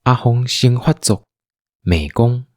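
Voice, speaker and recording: A man with a Taiwanese accent narrating a scripted radio drama in an animated, dramatic storytelling manner. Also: medium pitch, slow, no echo, studio quality.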